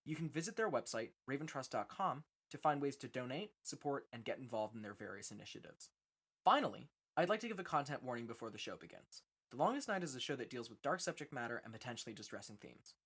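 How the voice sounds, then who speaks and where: A man's voice: medium pitch, very animated, quick pace, a bit bright, husky. A man reading a scripted introduction as presenter, formal and earnest. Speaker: presenter introducing a radio play. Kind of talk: scripted announcement.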